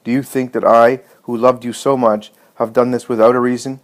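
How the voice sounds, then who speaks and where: A man's voice: medium pitch, little pitch movement, a little fast, natural voice. A man reading a compassionate holy teacher in a story, speaking with solemn authority and searching questions. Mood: firm consoling. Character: compassionate, commanding holy male teacher consoling mourners.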